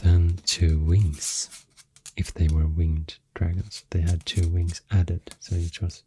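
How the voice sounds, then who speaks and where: A woman with a Swedish accent doing soft-spoken ASMR, hushed and halting, with a deep, breathy, often whispery voice close to the mic. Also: flat delivery, slight background noise. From Sweden. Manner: slow, hushed, halting with long pauses. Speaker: ASMR host. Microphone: close microphone. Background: soft pencil and clicking sounds.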